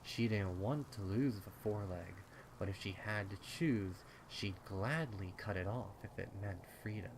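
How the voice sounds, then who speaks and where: A man reading a horror story aloud as a narrator, in a grave, deliberate, suspenseful manner. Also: lowish voice. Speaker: fan fiction narrator. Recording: rain noise behind the voice.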